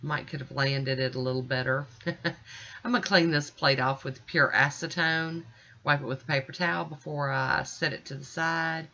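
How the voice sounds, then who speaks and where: Casting: American woman, middle-aged, bright forward voice, informal folksy tutorial narration, slightly muffled home recording. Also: sits low, fairly even intonation, moderate pace. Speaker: nail art vlogger. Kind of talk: casual tutorial voice-over.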